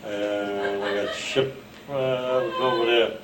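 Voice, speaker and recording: A man's voice: mid-range voice, steady tone, over loud music. Roomy-sounding New man in his eighties. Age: 80s. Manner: rambling, halting storyteller with many restarts. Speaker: World War Two veteran recounting family history. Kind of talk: casual oral history interview. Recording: roomy home recording with faint hiss.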